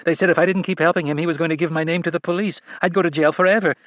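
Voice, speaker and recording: Scared young woman confessing fast and teary, as a guy voices her, pitched up, dry close read. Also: steady tone, a bit bright, dry recording, lightly acted. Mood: pleading. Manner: pleading, rushed and tearful. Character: frightened young female illusionist confessing.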